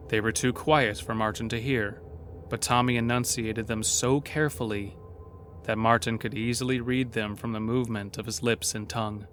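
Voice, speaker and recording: A man giving scripted, suspenseful narration for a horror audio drama, telling the story in a measured way. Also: mid-range voice, a bit bright, natural voice. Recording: clear music bed behind.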